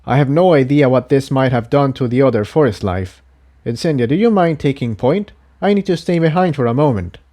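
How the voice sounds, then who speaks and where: A man's voice: mid-range voice, lively intonation, a little fast, mellow, pitched above the performer's own voice, lightly acted. A man voicing an earnest, cautious male pony in a story reading, explaining himself hesitantly.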